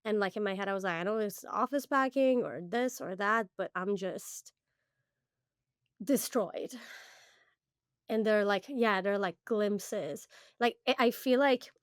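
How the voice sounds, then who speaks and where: Woman, young adult, from Iran, podcast co-host, casual chat, candid, halting, middle pitch, dry close sound. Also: clear, resonant tone, no room sound. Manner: candid, halting, many fillers and restarts.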